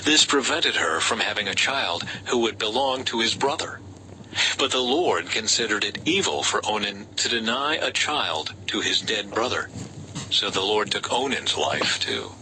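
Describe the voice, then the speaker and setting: A man reads a Bible story as scripted narration, in a measured storytelling way with lightly dramatized dialogue. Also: on the low side, expressive. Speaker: audiobook-style scripture reader. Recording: faint background noise.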